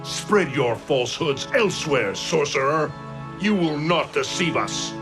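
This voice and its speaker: An actor playing a stern, quick-tempered thunder god in a video game, speaking in a formal, commanding way. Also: male voice, a little high, lively intonation, over music. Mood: angry, distrustful. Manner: commanding, formal, solemn speech. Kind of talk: dramatic video game cutscene acting.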